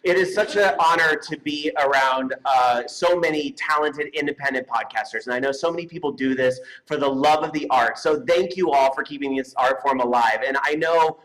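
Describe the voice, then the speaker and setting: A man's voice: fairly high pitch, normal speed, hardly any pauses, fairly bright tone. A playful, showy man presenting an award live on stage and joking around at the microphone. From United States, California. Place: convention stage. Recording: noisy live event microphone.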